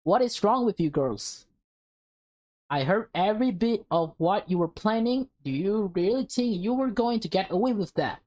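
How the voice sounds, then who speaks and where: A woman's voice: mid-range voice, lively intonation, normal speed, mellow, natural voice. A woman voicing a stern, scolding mother who teaches at a school, firm and reproachful, in a visual novel. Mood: angry, stern.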